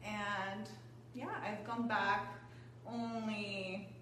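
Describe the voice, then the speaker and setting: A woman's voice: medium pitch, level tone, drawn-out words, fairly bright tone. A woman from Poland, a yoga teacher, talking casually as an interview guest, chatty and laughing often, with lots of fillers. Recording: echoey room, far from mic, background hum.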